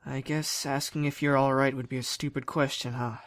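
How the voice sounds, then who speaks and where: A man's voice: medium pitch, little pitch movement, fairly quick, fairly bright tone, natural voice. A man playing a determined, self-sacrificing teenage boy in a fan audio drama, earnest and casual, with weary concern.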